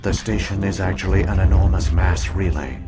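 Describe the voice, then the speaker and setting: An actor voicing an ancient alien artificial intelligence in a video game, grave and weary, with formal, measured speech. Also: male voice, low voice, steady tone, close to an everyday voice. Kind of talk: scripted video game dialogue.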